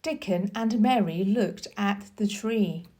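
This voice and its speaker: A woman storyteller reading a children's book aloud in a deliberate, expressive teacher's manner. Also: medium pitch. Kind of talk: scripted storybook narration.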